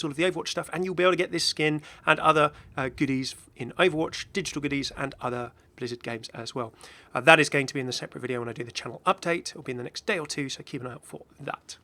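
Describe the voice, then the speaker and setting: A man hosting a gaming video with casual, excited reaction commentary in a rambling, exclamatory way. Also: a little high, no room sound. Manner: rambling, exclamatory, chuckling asides.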